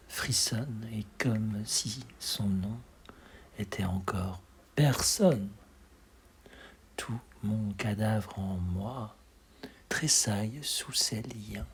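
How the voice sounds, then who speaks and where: A man with a breathy, husky voice reciting poetry expressively, in measured dramatic phrases that often sink to a hush. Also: fairly low pitch, slight background noise. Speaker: poetry reader.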